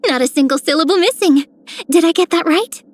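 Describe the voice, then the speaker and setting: A woman voicing a sweet, whimsical young girl in a video game, playful and gentle. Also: very high-pitched, brisk. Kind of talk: scripted game character lines.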